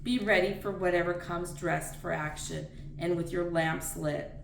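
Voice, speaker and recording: A woman's voice: on the low side, fairly even intonation, measured pace, vocal fry. A woman reading scripture aloud in an earnest, plain read-aloud manner, with the occasional stumble. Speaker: reader of daily scripture readings. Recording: roomy echo, distant mic, faint noise.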